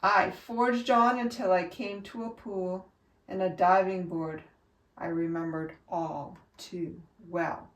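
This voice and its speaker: A woman reading a children's storybook aloud like a teacher, with expressive, emphatic and instructive delivery. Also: on the low side, slow pace. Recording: echoey room, distant from mic.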